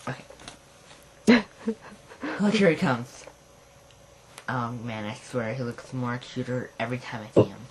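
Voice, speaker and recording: Slow, halting amateur puppeteer in noisy recording. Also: mid-range voice, animated. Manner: halting, swearing. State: tired. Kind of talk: improvised amateur puppet show dialogue. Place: friend's house late at night. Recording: noisy homemade recording with clicks.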